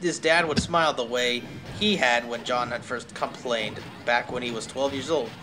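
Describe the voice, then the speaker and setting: A man's voice: mid-range voice, normal speed. A man reading fan fiction aloud on a stream with wry, casual asides, often interrupting himself, some noise in the background. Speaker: streamer, host.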